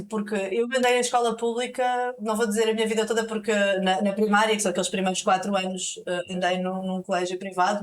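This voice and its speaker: A woman from Portugal with a European Portuguese accent, a journalist guest speaking in a measured, thoughtful way in a casual conversation. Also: medium pitch, slight background noise.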